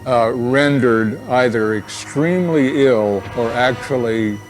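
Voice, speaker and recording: A man's voice: medium pitch, slow pace, a little hiss or hum. A man explaining something spontaneously as a documentary interviewee. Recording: faint music behind the voice. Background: faint music.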